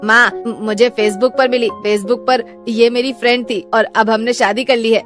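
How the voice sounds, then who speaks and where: A woman's voice: on the high side, steady tone, normal speed, music in the background, natural voice. A woman voicing an eager young man who has just married, pleading with his mother. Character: excitable young married son. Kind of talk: cartoon voice acting.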